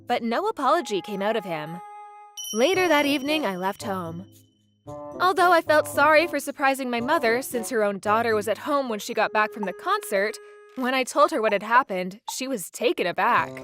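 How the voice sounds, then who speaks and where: A woman narrating a first-person manga dub story in an expressive, theatrical way. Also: a little high. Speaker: narrator and voice actor.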